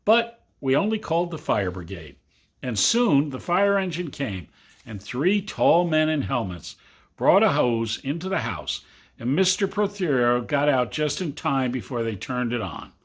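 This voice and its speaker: A man reading a literary story aloud to children, with dramatic, deliberate phrasing and long pauses. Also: medium pitch, big pitch swings, breathy edge. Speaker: reader. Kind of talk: expressive storybook narration for children.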